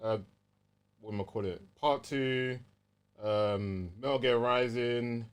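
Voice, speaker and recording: A man's voice: mid-range voice, slow, clear, resonant tone. A man with a London accent chatting casually and easily as a gaming podcast co-host, using plenty of slang.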